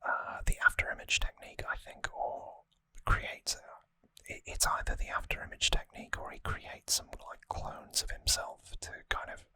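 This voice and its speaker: An ASMR host whispering a trivia recap gently and hesitantly, close to the microphone. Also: normal speed, no echo. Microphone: close microphone, dry sound.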